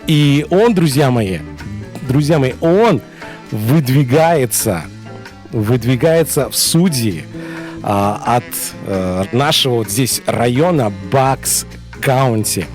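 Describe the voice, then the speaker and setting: A man's voice: mid-range voice, expressive, slow pace, darkish tone, faint music behind. A man hosting a radio interview in a studio, talking hesitantly with many fillers. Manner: hesitant, many fillers, switching between two languages. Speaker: radio host.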